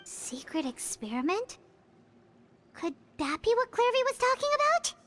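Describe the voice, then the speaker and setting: A woman's voice: very high-pitched, very animated, a little slow, a bit bright, slight background noise, full character voice. A woman voicing a small chatty fairy-like companion in a video game, who talks about herself in the third person. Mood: worried. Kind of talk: video game cutscene dialogue.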